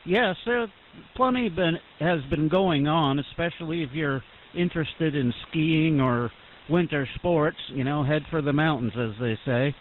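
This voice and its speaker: A faint hiss sits on narrow, phone-like radio audio as an American man gives his report. He is a ham operator with a slightly high voice, chatting in an informal, folksy way. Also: a little slow. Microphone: internet-linked ham radio transmission.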